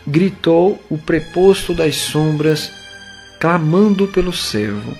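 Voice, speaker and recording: A man with a Brazilian accent giving solemn, measured dramatic narration for an audio novel. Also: a little high, expressive, a little mellow, faint music behind.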